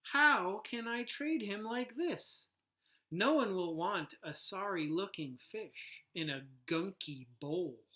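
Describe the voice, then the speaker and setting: A man reading the lines of a grumbling yet soft-hearted young boy in a storybook, slow and drawn-out. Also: high voice, expressive, full character voice. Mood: grumbling, resolved.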